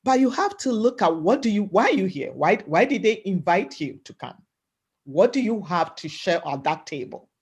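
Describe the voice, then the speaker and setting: A woman from Africa gives a webinar talk over a video call, her voice pitched in an ordinary middle range. She speaks loudly and emphatically, coaching her listeners and checking in with them again and again. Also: big pitch swings, normal speed, breathy edge. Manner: loud voice, emphatic, repeats "right?" and "you know". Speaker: webinar panelist. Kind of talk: motivational talk.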